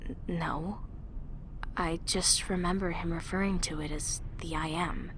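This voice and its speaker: A woman's voice: lowish voice, steady tone, measured pace, noisy background. A woman playing an anxious, protective young woman in an audio drama, hesitant and defensive. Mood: subdued, uneasy.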